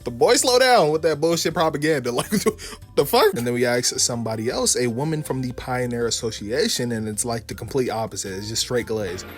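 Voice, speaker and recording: A man's voice: medium pitch, expressive, fairly quick, soft background music. Slangy man recapping a game story. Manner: slangy, profane, gushing fan talk. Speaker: video game recap narrator.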